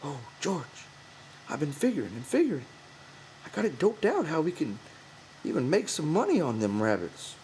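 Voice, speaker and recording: An old ranch hand, as a male narrator acts him in an audiobook. He is soft-spoken, folksy and careful, with a slightly high, varied pitch and faint hiss around him. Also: big pitch swings, moderate pace, low-quality recording. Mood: earnest. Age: older. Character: old ranch hand, quiet, cautious, eager to please. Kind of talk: audiobook character voice.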